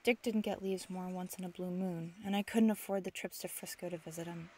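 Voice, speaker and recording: A woman's voice: on the low side, steady tone, a little fast, mellow, close to an everyday voice. A woman playing a tough, grieving young factory widow in an old-time radio drama, brusque, slangy and impatient. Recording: noisy amateur radio play recording.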